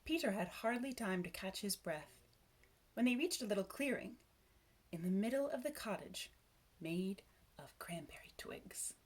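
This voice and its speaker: A woman narrates a children's storybook in a voice of middling pitch that rises and falls freely. Her phrasing is expressive, measured and dramatic. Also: breathy edge. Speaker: storybook reader.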